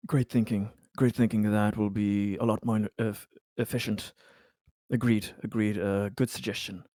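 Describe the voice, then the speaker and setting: A man playing a tabletop roleplaying game in character, speaking deliberately and haltingly, often repeating his words. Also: on the low side, flat delivery, mellow, no echo.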